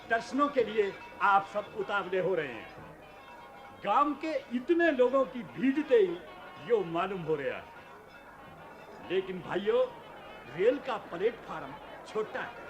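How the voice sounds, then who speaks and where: Radio drama: pleading male railway announcer, extremely high pitch, deliberate pace, faint music behind. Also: full character voice. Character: shouty village railway station announcer pleading for order. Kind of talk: radio play public announcement.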